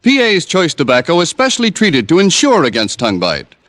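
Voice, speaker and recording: An American man reading a punchy scripted sales pitch in 1950s radio style. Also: huge pitch swings, fairly quick, fairly bright tone, no room sound. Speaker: commercial announcer. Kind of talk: 1950s scripted tobacco commercial. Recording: old radio broadcast recording.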